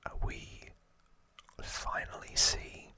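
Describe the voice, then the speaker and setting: A man's voice: slow pace, sharp tone. A man hosting an ASMR channel, whispering an informal trivia recap with hesitant, musing asides.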